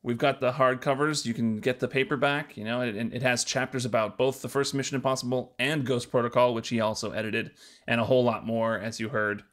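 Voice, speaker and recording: Guy hosting a podcast, medium pitch, just chatting casually with his co-host. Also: level tone, fairly quick, a bit bright.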